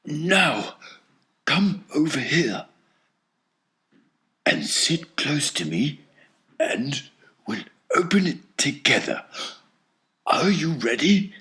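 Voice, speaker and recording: A man voicing an excitable old grandfather over sixty who talks in breathy, trembling whispers. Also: on the high side, very slow, long pauses, voice pushed up, heavily acted. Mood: excited whisper.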